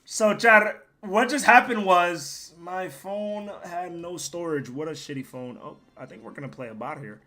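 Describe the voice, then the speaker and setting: A young man streams his game with a hoarse, worn-out voice that still has a bright, forceful edge. He rambles casually and swears freely between plays. He sometimes breaks into loud yells. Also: high-pitched, unhurried, hardly any pauses. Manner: profane, rambling, bursts into loud yelling. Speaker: gaming streamer. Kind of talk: casual live gameplay commentary.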